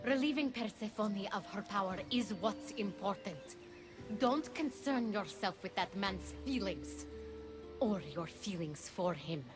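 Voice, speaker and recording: An actress playing a stern, commanding goddess-like mentor in a video game, measured and disdainful. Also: female voice, medium pitch, lively intonation, music in the background, close to an everyday voice. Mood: cold, scolding. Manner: imperious, measured, solemn. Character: stern, commanding divine female mentor, coolly disdainful of feelings. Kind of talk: video game cutscene acting.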